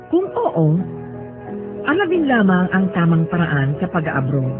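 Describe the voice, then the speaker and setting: A man giving scripted narration for a radio drama in a dramatic, rhetorical manner. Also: high-pitched. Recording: thin phone-like radio sound, prominent music.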